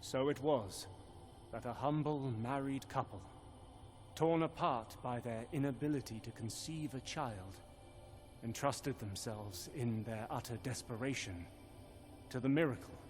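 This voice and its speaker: An actor playing a solemn man who recounts a sorrowful legend of faith in a video game, in grave, measured storytelling. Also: medium pitch, a little mellow, soft background music, lightly acted. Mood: sorrowful, reverent. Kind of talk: dramatic video game narration.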